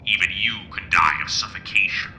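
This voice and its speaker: A deep, piercing, ominous vigilante. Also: male voice, creaky, pushed and bright, a little hiss or hum, full character voice, rough recording. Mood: ominous, doom-laden. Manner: ominous, deliberate, theatrical. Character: grim, relentless masked male vigilante, eerie and resolute. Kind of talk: old-time radio drama acting.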